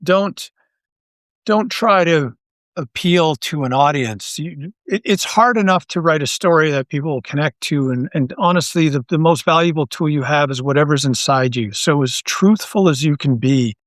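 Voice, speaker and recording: A Canadian man with a fairly high voice, recorded very dry. He speaks casually as an interview guest, earnest and often stopping to rework his phrasing as he thinks aloud. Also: normal speed, fairly bright tone, no room sound. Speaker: interview guest, audio drama writer and creator.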